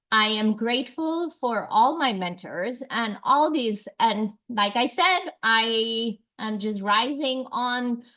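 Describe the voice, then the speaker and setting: A woman's voice: on the high side, a bit bright. A woman from Tanzania speaking earnestly and reflectively at a faculty seminar. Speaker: faculty researcher. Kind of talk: seminar talk. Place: seminar room.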